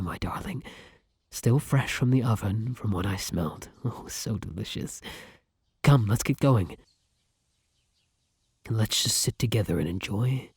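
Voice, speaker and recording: A devoted gentleman vampire, acted by a man for an ASMR roleplay. His voice is lowish and very breathy and husky, recorded dry and close. He speaks in formal, flowery phrases with an old-fashioned tenderness. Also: no room sound, exaggerated voice. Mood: savouring, dreamy. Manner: formal, flowery, affectionate speech. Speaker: roleplay voice actor. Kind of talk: ASMR roleplay acting addressed to the listener.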